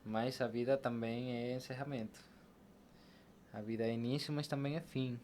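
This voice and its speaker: Venezuelan man, mid-pitched, with noisy background. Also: steady tone, moderate pace, darkish tone. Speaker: podcast guest. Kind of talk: casual, reflective interview talk. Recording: clear background ambient noise.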